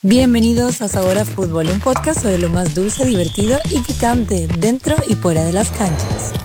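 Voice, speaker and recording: A woman's voice: mid-range voice, animated, normal speed. A woman welcoming listeners in a promo for a sports podcast. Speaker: podcast host. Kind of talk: scripted promo read. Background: music.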